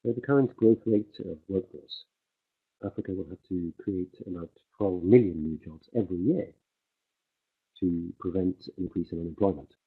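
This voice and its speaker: A man interpreting a conference speech on the fly, halting often with fillers as he keeps up with the speaker. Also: sits low, breathy edge. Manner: halting, many fillers and restarts while keeping pace with the speaker. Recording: tinny phone-like livestream audio.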